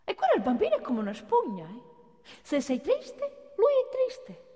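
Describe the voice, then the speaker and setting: An actress playing a chatty, folksy working-class gossip in a theatrical radio monologue. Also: female voice, very high-pitched, huge pitch swings, moderate pace, mellow, heavily acted. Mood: chatty, amused. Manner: colloquial, with tag questions and exclamations. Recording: long hall reverb of a stage.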